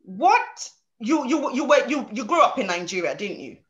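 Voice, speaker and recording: A woman's voice: on the high side, big pitch swings, normal speed, highs cut off. A young adult woman hosting an interview show over a video call, emphatic and forever tagging her lines with "okay?". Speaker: show host. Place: home room, indoors.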